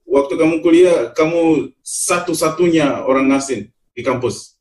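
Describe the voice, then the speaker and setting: A man hosts a casual interview in a fairly high male voice. He reacts briefly and encouragingly, then asks his questions, sometimes slipping into English. He sounds a little way off from the microphone. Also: normal speed, a little mellow. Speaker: podcast host. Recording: voice distant from the microphone.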